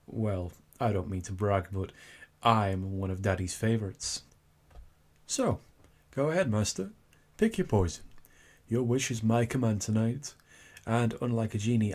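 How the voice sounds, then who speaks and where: A man's voice: medium pitch, darkish tone, breathy edge. A man playing a suave, teasing incubus demon in a scripted ASMR roleplay, with theatrical delivery. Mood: suave, boastful. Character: seductive, cocky male incubus demon turning flustered and tender.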